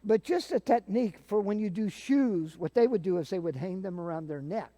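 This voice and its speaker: A man's voice: sits high, lively intonation, brisk, warm tone. An older man casually explaining and demonstrating things as a guest. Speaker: guest, theater sound-effects practitioner.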